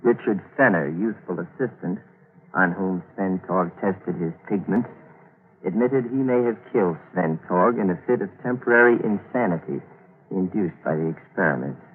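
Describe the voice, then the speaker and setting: Low-voiced, scheming young radio-drama killer. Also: male voice, animated, normal speed, phone-quality sound. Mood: brooding. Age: young adult. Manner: brooding, controlled, insistent. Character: cold, scheming young male murderer, quietly persuasive. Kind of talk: 1940s radio drama acting. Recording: thin, noisy 1940s radio recording.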